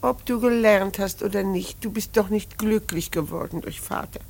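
A woman's voice: mid-range voice, moderate pace, gravelly, darkish tone. Careworn, plaintive older mother. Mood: reproachful, worried. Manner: plaintive, worried. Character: careworn, reproachful older mother of grown children. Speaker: actor in a radio drama.

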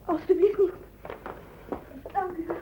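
A woman's voice: very high voice, flat delivery, unhurried, slight background noise. An actress playing a frightened young female student in a radio drama, hesitant and pleading. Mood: panicky, hasty. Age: young adult. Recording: muffled phone-like old radio recording.

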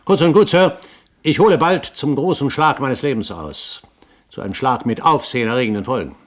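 A male actor as an older gentleman pirate captain, proud and scheming. He talks in grand, eloquent phrases with the theatrical polish of 1970s German radio drama, always sounding sure of his noble rank. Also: medium pitch, lively intonation, a little fast, lightly acted. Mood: confiding, scheming.

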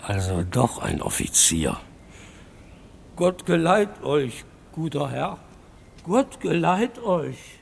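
An actor playing an old drunken beggar who wheedles and needles a stranger in a radio play. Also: male voice, fairly high pitch, sing-song, slow, creaky, a little hiss or hum, exaggerated voice. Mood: wheedling. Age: older. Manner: wheedling, sly, insistent.

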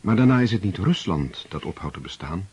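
A man narrating a radio drama in a measured, formal, literary storytelling manner. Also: low-pitched, animated, darkish tone, slightly rough, dull audio. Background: music.

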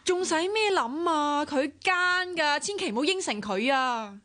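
Feisty Hong Kong Cantonese amateur sleuth for radio drama, blunt, suspicious and exclamatory. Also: female voice, high-pitched, animated, unhurried, fairly bright tone, dry recording. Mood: indignant. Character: feisty, suspicious, impulsive young woman turned amateur sleuth.

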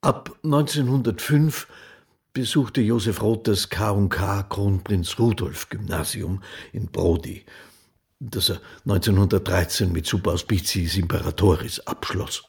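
An actor playing an educated, sober witness, giving precise, matter-of-fact recollections in a radio play. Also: male voice, lowish voice, measured pace, creaky, a little mellow, breathy edge, exaggerated voice. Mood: factual.

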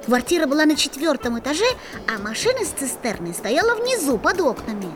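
A woman playing a lively, curious schoolboy who narrates a children's audio play in an expressive, boyish way. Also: sits high, a little fast, over music. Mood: storytelling.

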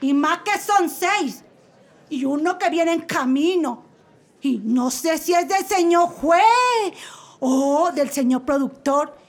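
Woman as sassy Mexican showgirl, very high voice, slow suggestive delivery, radio comedy. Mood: pleading. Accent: Mexican Spanish. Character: brash adult woman showgirl defendant. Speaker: defendant in a comic court sketch. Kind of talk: comic radio sketch acting.

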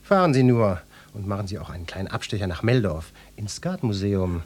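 A self-important, lecherous detective from a satirical radio drama. His voice is low and somewhat rough, and he puts his questions in flowery officialese, heavy with innuendo. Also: very animated, brisk, a little mellow. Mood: probing. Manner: florid, officious, insinuating questioning. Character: pompous, nosy, lecherous police detective interrogating a housewife. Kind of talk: German satirical radio play acting.

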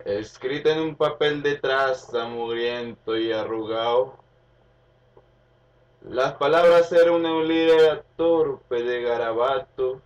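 A man with an Argentine accent reciting a rural tale as a storyteller, theatrically and deliberately. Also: fairly high pitch. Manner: theatrical, deliberate, with repeated phrases. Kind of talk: dramatic spoken-word recitation of a rural tale over music. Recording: dull recording, distant, under loud music. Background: plucked guitar music.

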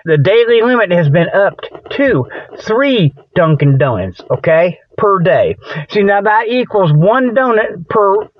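Male radio host, high voice, folksy and rambling comic delivery, dry but dull lo-fi recording. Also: expressive, a little slow, dry recording. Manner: folksy colloquial grammar; repeats "okay" and "you know" as tags. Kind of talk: rambling comedic radio monologue.

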